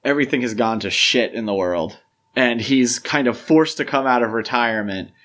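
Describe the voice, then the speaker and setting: Casting: male podcast host, mid-pitched, casual and opinionated film talk, explains at length. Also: moderate pace, a bit bright.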